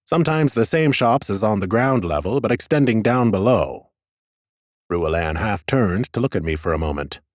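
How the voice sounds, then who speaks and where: A man reads scripted fantasy fiction as a first-person narrator. His voice is on the low side and recorded close and dry, with a measured storyteller's delivery. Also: animated, dry recording. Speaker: audiobook narrator.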